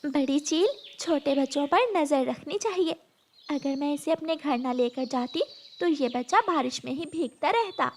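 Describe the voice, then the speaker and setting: A woman voicing a caring, gentle mother sparrow in a cartoon, kindly and motherly. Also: high voice, moderate pace, a little hiss or hum. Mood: admonishing.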